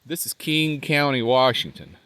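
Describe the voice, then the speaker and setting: A man with a congested, nasal voice, talking casually to the camera outdoors as a van life vlogger, rambling and trailing off quietly. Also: mid-range voice, animated, a little slow, clean tone, dry recording. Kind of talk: casual vlog talk while walking. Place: outdoors by a riverbank park.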